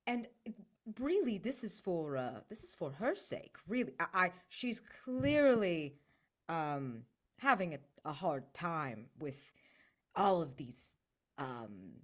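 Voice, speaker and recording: A woman playing a tabletop roleplaying game, casually improvising what her character does and says, halting and repeating words as she goes. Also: fairly low pitch.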